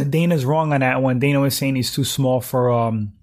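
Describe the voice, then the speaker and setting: A man in his thirties talks loosely through a livestream, tossing out slang and clearing his throat often. His voice is slightly hoarse and sits at an ordinary, middling pitch. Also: moderate pace. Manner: relaxed slangy talk, frequent throat clearing. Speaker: livestream host reading chat. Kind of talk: casual chat.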